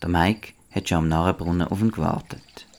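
A man narrates a scripted story for children in a deep voice. He speaks with a Swiss German accent, as the storyteller of an audio play. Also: steady tone, fairly quick, a little mellow.

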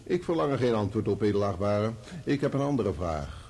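An actor in a radio play voicing a probing, sardonic barrister, formal and full of pointed rhetorical questions. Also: male voice, fairly low pitch, animated, fairly quick, a little mellow, slightly rough. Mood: pointed, needling. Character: probing, sardonic male barrister cross-examining a witness. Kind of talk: courtroom radio play acting.

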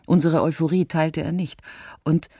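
A woman's voice: deep voice, fairly even intonation, moderate pace, warm tone, husky, no room sound, clean studio sound. An actress playing a self-possessed, reflective middle-aged woman in a radio drama. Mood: reflective, wry. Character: reflective, self-possessed woman looking back on her marriage. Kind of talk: radio drama dialogue and first-person narration.

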